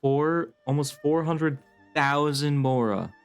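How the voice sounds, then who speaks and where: Casual male streamer: wry deadpan asides, slow pace, smooth clear voice, faint game music underneath. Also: a little high. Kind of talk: casual playthrough commentary.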